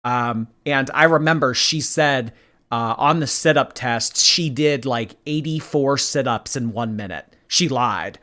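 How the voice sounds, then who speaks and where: A man with a bright, forward voice riffs as a reaction commentator. His delivery is blunt, sarcastic and full of swearing. His pitch sits in an ordinary middle range. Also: unhurried. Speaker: commentary YouTuber.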